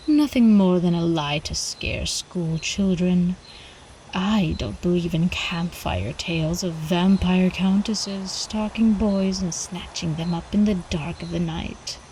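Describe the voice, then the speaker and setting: A woman's voice: fairly low pitch, a little mellow, slight background noise, poor audio. A woman playing a seductive, possessive vampire countess in an ASMR roleplay, elegant and teasing, often slipping toward a whisper. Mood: gloating, ominous.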